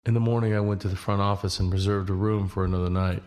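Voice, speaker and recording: A man's voice: on the low side, fairly even intonation, fast, a little mellow, soft background music, close to an everyday voice. An actor playing an easygoing, weary cross-country walker, narrating his journey in a first-person audio drama. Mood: reflective, matter-of-fact. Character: easygoing weary man walking across the country. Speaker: narrator and lead character.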